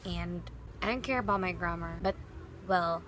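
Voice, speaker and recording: A woman's voice: lowish voice, moderate pace, fairly bright tone, a little hiss or hum. A young woman from the Philippines with a Filipino accent, speaking English as a second language in a chatty, giggly student vlog. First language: Bisaya. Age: young adult. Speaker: student vlogger. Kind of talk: casual vlog talk to camera.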